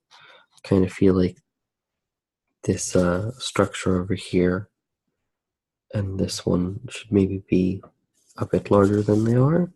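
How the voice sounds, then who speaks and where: A man softly narrating his drawing for ASMR, hushed, unhurried and musing as he works. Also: deep voice, vocal fry, breathy edge, no room sound, poor audio.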